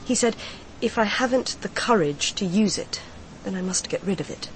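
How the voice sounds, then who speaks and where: An actress playing a grieving mistress confiding in a detective in a radio crime drama, speaking in a measured, confessional way. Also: female voice, medium pitch, lively intonation, slight background noise, lightly acted, rough recording. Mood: resigned, bitter.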